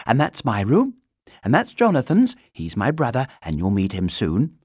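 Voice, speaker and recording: Performer putting on a high, chattering young girl. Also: wildly swooping pitch, moderate pace, a little mellow, husky, dry recording, clean studio sound. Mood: chatty. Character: friendly, chatty young girl, eager and reassuring.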